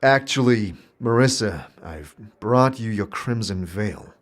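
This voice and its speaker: A man's voice: on the low side, lively intonation, slow pace. A man voicing a scarred wanderer in a fan audio drama, polite and hesitant, often stammering.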